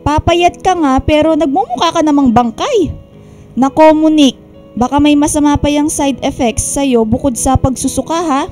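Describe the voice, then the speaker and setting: A woman's voice: sits high, normal speed, a little mellow, faint music behind, natural voice. A woman playing a caring older Filipino mother in a radio drama, fretting and scolding with motherly emphasis.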